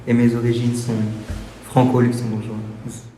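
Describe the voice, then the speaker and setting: A sixteen-year-old boy speaking in a flat, careful way. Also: medium pitch, a little slow, soft background music. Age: teens. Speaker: student. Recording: echoey hall.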